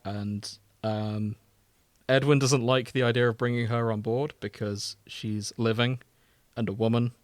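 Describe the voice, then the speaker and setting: A man's voice: medium pitch, steady tone, unhurried, no room sound. Wry man chatting, dry close sound. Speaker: podcast co-host. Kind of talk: casual chat about a TV series.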